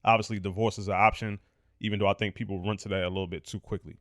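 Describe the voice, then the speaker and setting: Casual podcast co-host: man, thirties, lowish voice, candid, emphatic, swears freely, dry close mic. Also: flat delivery, very fast, a bit bright, no echo. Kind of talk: casual chat.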